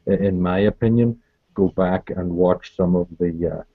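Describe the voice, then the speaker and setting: Co-host: man with lower voice, halting delivery, dry dull audio. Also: dry recording. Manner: halting, repeats words as he starts. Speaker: podcast co-host. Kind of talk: casual podcast chat.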